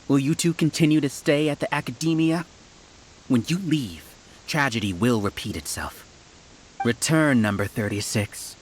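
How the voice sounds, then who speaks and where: An actor playing a scheming male scholar who leads a hive mind, speaking in a smooth, condescending, lecturing way. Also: medium pitch, moderate pace, a little hiss or hum, close to an everyday voice, rough recording. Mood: scornful. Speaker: villain. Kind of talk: video game voice acting.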